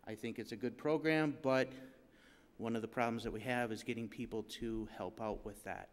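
A man's voice: medium pitch, steady tone, normal speed, clean tone. Middle-aged man, plain conversational announcements, resonant voice in a reverberant hall. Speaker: church announcer. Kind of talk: church announcements. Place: church stage, indoors. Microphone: pulpit mic.

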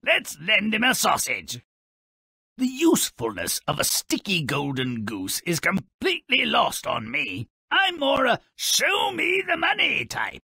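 Cynical little dwarf narrator, video game fairy tale, raspy, sharp and forceful, gleefully sarcastic, theatrical. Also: male voice, sits high, dry recording, clean studio sound, exaggerated voice. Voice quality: raspy, gravelly. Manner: sarcastic, gleeful, exaggerated delivery. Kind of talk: theatrical sardonic storybook narration.

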